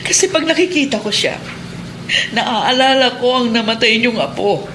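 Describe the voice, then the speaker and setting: A woman's voice: on the high side, expressive, normal speed, noisy background, lightly acted. A woman playing a resentful, grieving middle-aged mother in a Filipino radio drama, aggrieved and insistent. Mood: bitter grief.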